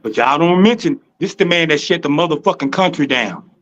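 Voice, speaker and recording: Southern man, panel guest, loud and insistent, highish voice, lo-fi call. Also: expressive, a little fast. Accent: Southern American. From American South. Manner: loud, insistent, talks over others, swears. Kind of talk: heated panel argument.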